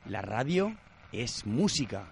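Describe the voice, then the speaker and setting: A man's voice: mid-range voice, sing-song, takes it slowly, vocal fry, a little hiss or hum. A man reading a scripted radio promo as its announcer.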